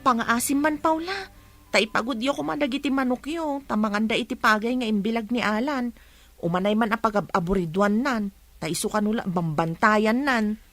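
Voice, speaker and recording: A woman's voice: on the high side, normal speed, fairly bright tone, faint music behind, natural voice. A Filipino woman acting in a radio drama as an exasperated rural wife who scolds and pleads to keep the peace. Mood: polite.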